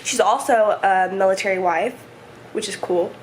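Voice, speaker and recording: A woman in her twenties, chatting casually and rambling through a home vlog, her voice hoarse and stuffy. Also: mid-range voice, normal speed, slight background noise, poor audio. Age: 20s. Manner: rambling, chatty, many fillers. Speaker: vlogger. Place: home, indoors. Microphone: camera set up nearby.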